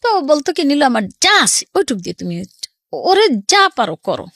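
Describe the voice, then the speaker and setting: A middle-aged woman with a Bangladeshi accent shares a personal account. Her voice sits fairly high and moves widely up and down as she relays other people's words. The sound is close and dry, like a studio. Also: a little fast, no room sound. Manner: animated, quotes people's words as she recounts. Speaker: guest telling her life story. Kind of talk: personal storytelling in an interview.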